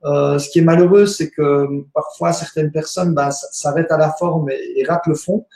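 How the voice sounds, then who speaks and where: Homme hésitant, voix sombre, pièce résonante. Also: male voice, on the high side, steady tone, normal speed, dark tone, roomy sound. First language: not French. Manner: hesitant, many fillers and restarts. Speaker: guest therapist. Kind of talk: casual radio interview.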